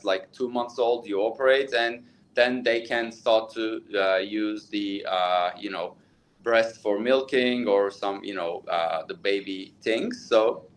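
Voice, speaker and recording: Man, Turkish accent, thirties, mid-pitched voice, halting, lots of "uh"s, interview answers. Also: a little hiss or hum. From Turkey. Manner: halting, many fillers and restarts. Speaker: plastic surgeon.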